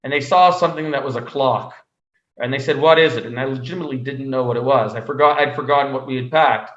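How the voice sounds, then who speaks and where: A man from Canada speaking as an interview guest, telling personal stories conversationally in a halting way, often repeating words. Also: medium pitch, a bit bright. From Canada (Montreal). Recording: roomy echo, slightly dull sound.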